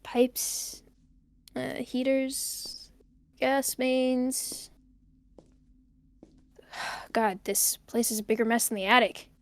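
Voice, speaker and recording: A high-voiced, nervy young loner played by a woman talks to themself in an audio drama. The delivery is very slow and halting, with wry muttered jokes. The sound is close and dry. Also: steady tone, no room sound, exaggerated voice. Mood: nervous, wry. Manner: wry, halting, self-talking. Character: nervy, joking young loner recording a tape while fixing power.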